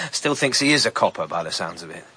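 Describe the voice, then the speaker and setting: Brief: a cocky, mocking rogue, sharp bright tone. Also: male voice, low voice, big pitch swings, moderate pace, slight background noise, heavily acted. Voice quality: sardonic, mocking. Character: sly, mocking male rogue. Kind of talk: radio drama acting.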